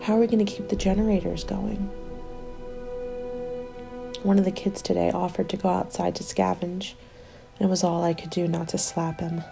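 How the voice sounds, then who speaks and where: A woman playing a weary survivor of a zombie winter in a soft, breathy, confiding ASMR roleplay. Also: lowish voice, flat delivery, normal speed, long pauses, over music. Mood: grim, worried.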